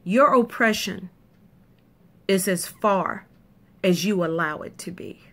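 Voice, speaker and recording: A woman's voice: medium pitch, takes it slowly. A woman delivering an emphatic, punchy opinion monologue to camera, repeating points for effect. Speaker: video commentator.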